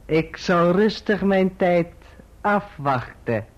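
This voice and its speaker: An actor playing a scheming clerk in a radio play, obsequious and insinuating, on a dull recording. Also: male voice, high voice, lively intonation, slow pace, slight background noise. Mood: smug, insinuating. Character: scheming, falsely humble male clerk, oily and self-satisfied.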